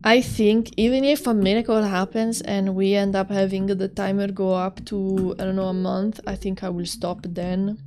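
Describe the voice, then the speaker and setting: A woman's voice: medium pitch, little pitch movement, moderate pace, few pauses, a little mellow, faint music behind. A woman from Italy with an Italian accent, chatting casually on a livestream while she draws; she is chatty and giggly, often trailing off and starting her sentences again. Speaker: streamer.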